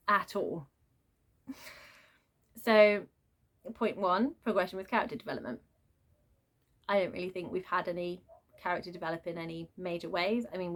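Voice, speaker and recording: A woman giving a casual, unscripted book rant in an exasperated, sarcastic and emphatic manner, with a slightly low voice. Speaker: book reviewer.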